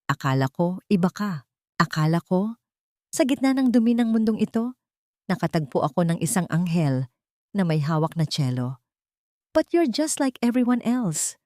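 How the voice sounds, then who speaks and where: A woman voicing a cold, wealthy young man who speaks low and scornfully, in an audio drama story. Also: big pitch swings, normal speed, long pauses, dark tone, no room sound, clean studio sound.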